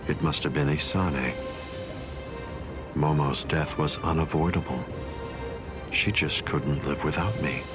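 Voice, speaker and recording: A man voicing a calm, coldly condescending villainous captain in an anime game, with measured, composed delivery. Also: rumbling low. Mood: coolly remorseless. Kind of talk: English voice acting for anime game cutscene. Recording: dramatic music behind the voice. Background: loud music.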